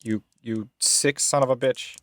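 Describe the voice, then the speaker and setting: A man's voice: mid-range voice, steady tone, unhurried, fairly bright tone, dry recording. Male tabletop player, mid-range voice, informal joking chat, dry studio-close sound. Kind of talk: casual chat at a roleplaying game.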